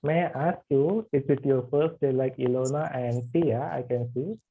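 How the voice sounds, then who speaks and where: A man introducing himself as a yoga anatomy teacher in an online class, halting with many fillers. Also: mid-range voice, husky. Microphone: video call. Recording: tinny video call audio.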